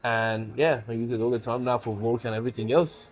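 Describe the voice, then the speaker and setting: A man's voice: medium pitch, fairly even intonation, fairly quick, faint music behind. A young man from Iran, a native Farsi speaker, chatting casually in an interview about his life. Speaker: bodybuilder.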